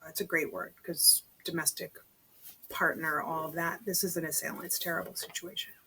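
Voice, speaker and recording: A woman's voice: low voice, little pitch movement, brisk, sharp tone, husky, poor audio. A woman reading a story aloud informally, breaking off for conversational asides to her listener. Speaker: amateur read-aloud reader. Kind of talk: reading a novel aloud with chatty personal asides.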